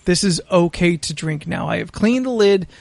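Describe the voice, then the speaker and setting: An American man hosting a podcast, telling a story casually in a halting, sweary way. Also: sits high, expressive, vocal fry, darkish tone, no room sound. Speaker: podcast host.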